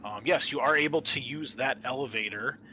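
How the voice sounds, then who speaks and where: A man narrating an improvised tabletop game as game master, halting often mid-sentence. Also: mid-range voice, pushed and bright, a little hiss or hum.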